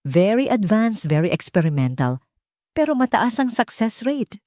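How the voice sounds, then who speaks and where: A woman's voice: mid-range voice, moderate pace, no echo, clean studio sound, pitched above the performer's own voice, close to an everyday voice. A woman with a Filipino accent voicing an eager, loyal male friend in an audio story. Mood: excited. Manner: energetic, emphatic.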